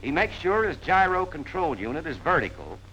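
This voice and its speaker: A man's voice: on the high side, sing-song, normal speed, bright, forceful tone. An American man gives plain-spoken, punchy instruction in the style of a 1940s military training film narration. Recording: noisy 1940s film soundtrack.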